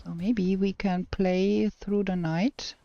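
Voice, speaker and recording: Slow-talking woman with a German accent. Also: mid-range voice, steady tone, warm tone, dull audio, soft background music. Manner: talks to herself and chat while playing, thinking aloud. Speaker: streamer. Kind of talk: casual gameplay commentary.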